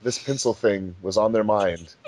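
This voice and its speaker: Low-voiced man in casual commentary. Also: moderate pace, darkish tone, no echo. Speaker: fandub director and commentator.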